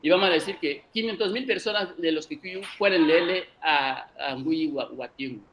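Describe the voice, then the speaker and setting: A man's voice: fairly high pitch, moderate pace, a bit bright, a little hiss or hum. A man from Equatorial Guinea, whose first language is an African one, gives an unscripted lecture at a cultural conference, arguing his points emphatically and speaking straight to the audience. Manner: emphatic, argumentative, addresses the audience directly.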